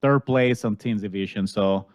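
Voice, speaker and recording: A man's voice: on the low side, normal speed. A man hosting a podcast, chatting in a friendly way, often laughing and repeating words. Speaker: podcast host. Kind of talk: casual chat.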